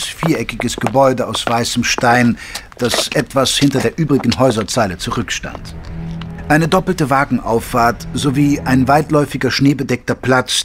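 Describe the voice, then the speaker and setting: An actor playing an observant gentleman narrator, the detective's companion, telling the story in a measured, formal way. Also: medium pitch, natural voice. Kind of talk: scripted narration. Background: music.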